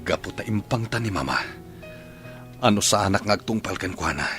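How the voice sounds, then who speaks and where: A man's voice: lowish voice, animated, a little fast, a bit bright, soft background music. A man playing a remorseful husband in a radio drama, speaking in an earnest, pleading way. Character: remorseful husband begging forgiveness.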